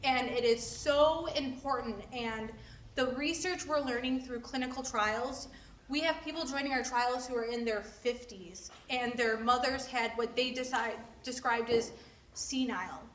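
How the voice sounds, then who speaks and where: A woman's voice: fairly high pitch, a little slow, fairly bright tone. A woman giving an informal, earnest presentation to a group, explaining as a company representative. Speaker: clinical trial company representative. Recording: echoey room, distant mic, background noise.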